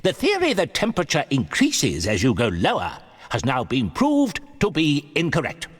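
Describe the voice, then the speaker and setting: A man's voice: on the high side, huge pitch swings, normal speed. A man voicing a pompous, eager older professor in a theatrical, declamatory way for a dramatised audiobook. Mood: triumphant, assured. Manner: declamatory, theatrical, self-assured. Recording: long hall reverb.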